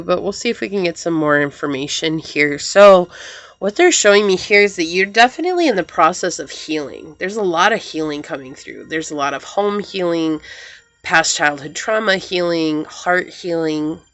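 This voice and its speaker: A woman giving a pick-a-card tarot reading to her viewers, gentle and reassuring and full of affirmations, sounding slightly congested as she gets over a cold. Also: lowish voice, expressive, moderate pace.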